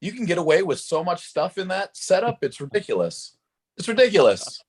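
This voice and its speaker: A man with a hoarse voice talking upbeat and rambling as a guest on a live video chat. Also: high voice, sing-song, fairly quick. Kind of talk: casual chat.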